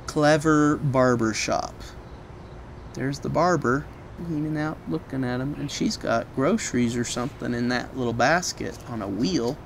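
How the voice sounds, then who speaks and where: A woman reads a children's story aloud with a very lively, swinging pitch. She chats through casual asides and explains the pictures as she goes, with noticeable noise in the background.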